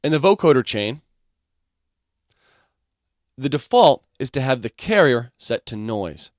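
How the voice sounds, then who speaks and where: A man teaching music production software, explaining each step in an informal way. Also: medium pitch, big pitch swings, unhurried, no room sound, clean studio sound.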